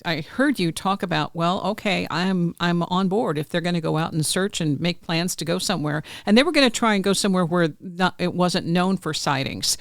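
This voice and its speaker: A host in a casual interview, talking in an earnest, chatty way and giving quick affirmations. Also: mid-range voice, gravelly, a bit bright, dry recording, clean studio sound. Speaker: podcast host.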